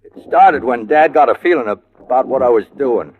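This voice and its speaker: A man's voice: mid-range voice, lively intonation, normal speed, natural voice. A man acting a desperate, nervous young American fugitive in an old-time radio crime drama, stammering and pleading. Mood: weary, confessing. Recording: muffled old radio broadcast recording.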